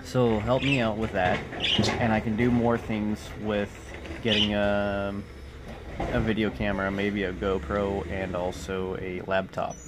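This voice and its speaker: A man in his forties chatting casually to viewers in a halting, rambling vlog, recorded on a phone indoors. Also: on the low side, flat delivery. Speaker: vlogger. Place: small cluttered room indoors. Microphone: phone at arm's length. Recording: noisy phone recording, clear background noise.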